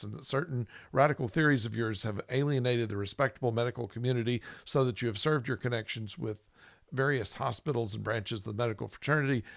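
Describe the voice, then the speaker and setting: A man on a podcast talking casually about a book and reading long passages from it aloud, halting with fillers and restarts. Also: mid-range voice, gravelly, no echo, studio quality. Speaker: podcast host.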